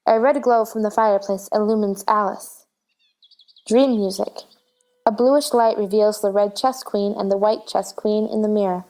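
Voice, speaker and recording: A woman's voice: mid-range voice, fairly even intonation, moderate pace, a little mellow, low-quality recording. A woman reading stage directions as scripted narration for a play.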